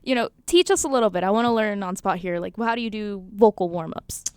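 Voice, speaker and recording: A woman's voice: mid-range voice, animated, fast, a little mellow, clean tone, no echo, clean studio sound. A woman hosting a podcast, chatty and playful, laughing often as she tells stories and teases her guest. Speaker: podcast host. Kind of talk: casual podcast interview.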